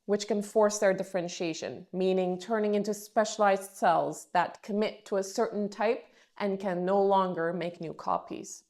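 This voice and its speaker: A young adult woman from Turkey hosts a science podcast with a scripted, explanatory read. Her voice sits at a middle pitch. She speaks in a clear, measured way. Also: darkish tone. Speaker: podcast host, biomedical scientist.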